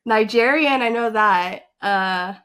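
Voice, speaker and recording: A young woman talks slowly and casually to her camera in a fairly high voice. She is animated and excitable, and she fills her speech with likes and ums. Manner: animated, excitable, filler-heavy with frequent likes. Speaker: vlogger. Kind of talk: casual reaction vlog.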